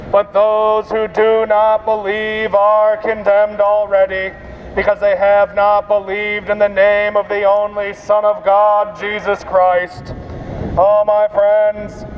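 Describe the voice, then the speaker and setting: A man preaching an open-air evangelistic sermon through a bullhorn in a solemn, declamatory, repetitive manner, outdoors on a city street. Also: high voice. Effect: amplified through a bullhorn. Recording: dull bullhorn sound with street traffic noise. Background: street and vehicle noise.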